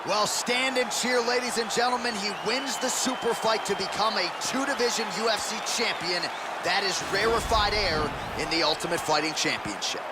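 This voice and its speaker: A man calls a fight in a high, projected voice. He is emphatic and excited, with heavy arena noise all around his commentary. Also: moderate pace, over a noisy crowd. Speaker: sports commentator. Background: noisy arena.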